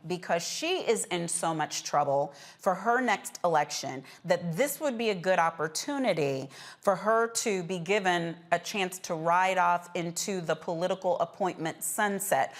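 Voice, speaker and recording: Woman, panel show host. Slightly low voice, informal and emphatic delivery. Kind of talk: news panel hosting, conversational.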